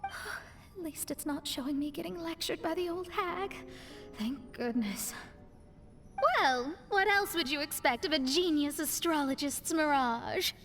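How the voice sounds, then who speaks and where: High-voiced young genius astrologist, proud, theatrical, teasing, anime game dialogue, music behind. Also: female voice, expressive, a little slow, fairly bright tone, full character voice. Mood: teasing, smug. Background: background music.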